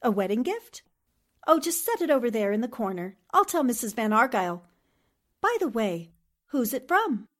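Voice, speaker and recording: Woman acting a poised, high-voiced lady sleuth. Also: sing-song, normal speed. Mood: curious. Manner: polished, arch, teasing endearments. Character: poised, shrewd, witty newspaperwoman amateur sleuth. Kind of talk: 1940s radio drama acting.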